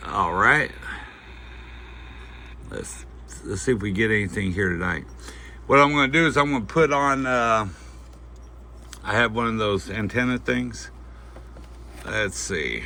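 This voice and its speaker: A man giving a casual, unscripted product demonstration, talking in a halting, folksy way as he thinks aloud. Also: on the low side, animated, sharp tone. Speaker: host of a gear review channel. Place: indoors at home at night. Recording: faint background noise.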